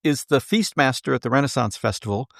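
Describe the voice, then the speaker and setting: A man from Minnesota, an author guest, chatting in a relaxed interview and chuckling through his stories. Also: medium pitch, fast talker, vocal fry, dry recording, clean studio sound. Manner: relaxed, anecdotal, chuckles while telling stories. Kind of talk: casual interview chat.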